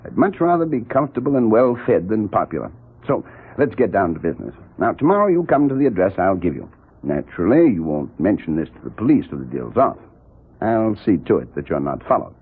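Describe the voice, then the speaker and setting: A weaselly, greedy blackmailer from a 1940s radio play. His husky voice slides all over in pitch as he oozes false politeness and theatrical self-regard. The sound is narrow and tinny, like an old broadcast. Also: sing-song, a little fast, heavily acted. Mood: smug, wheedling. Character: oily, cowardly, greedy male blackmailer with mock-polite manner. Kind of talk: 1940s radio mystery acting.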